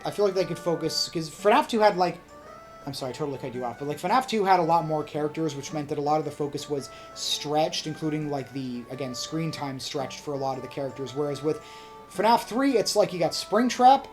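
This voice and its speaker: A podcast co-host in casual, excited fan discussion, talking in a rambling, emphatic way. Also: mid-range voice, a little fast, soft background music.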